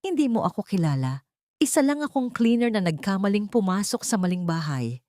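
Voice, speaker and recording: A woman's voice: mid-range voice, sing-song, fairly quick, darkish tone, no echo, studio quality, close to an everyday voice. A woman voicing a humble, wounded young woman who speaks in a hesitant, sorrowful way in an audio love story. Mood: wary, hurt.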